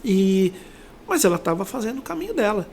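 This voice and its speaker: A man's voice: high-pitched, very animated, brisk, gravelly, darkish tone, a little hiss or hum. A middle-aged Brazilian man speaking as an interview guest, telling personal motivational stories in a reflective, conversational way and often checking for agreement. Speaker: interview guest, company sales director.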